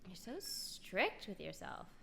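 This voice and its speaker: Casting: female game streamer, mid-pitched, crisp bright tone with gravelly edges, relaxed off-the-cuff commentary. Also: slow, a little hiss or hum. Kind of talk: casual gameplay commentary. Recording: faint game music behind.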